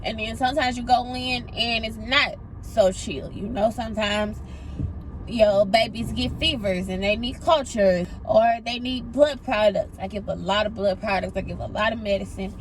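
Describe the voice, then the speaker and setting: A woman's voice: a little high, normal speed, slight background noise, rough recording. A young woman, a pediatric nurse and vlogger, talking casually to her viewers with a stuffy, congested voice. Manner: chatty, drawling, addresses viewers as y'all. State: congested, sniffling. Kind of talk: casual vlog chat. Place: car and errands.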